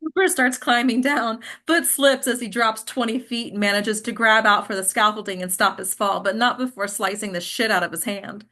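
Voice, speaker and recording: A woman's voice: a little high, moderate pace, hardly any pauses, sharp tone. A woman reading screenplay action lines as scripted narration at a table read. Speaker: stage directions reader.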